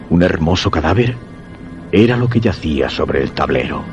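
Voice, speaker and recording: An actor playing a weakened, dread-stricken husband narrating a macabre tale in grave, measured Castilian Spanish for a radio horror drama. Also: male voice, low-pitched. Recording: dull radio sound with music underneath.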